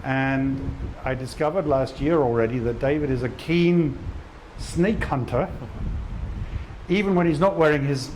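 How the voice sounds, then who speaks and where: A man interviewing someone outdoors on a beach, talking in a loose, rambling way and restarting his sentences. His voice sits at an ordinary middle pitch. Steady background noise runs under everything. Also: a little slow, poor audio. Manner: rambling, self-correcting, friendly questions. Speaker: interviewer and channel host.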